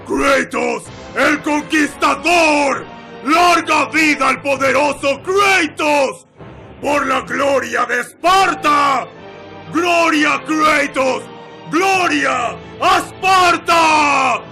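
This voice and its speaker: A man's voice: extremely high pitch, extremely slow, bright, forceful tone, shouting, faint music behind, full character voice. A man playing a fervent Spartan who hails his conquering king in a video game dub. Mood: exultant.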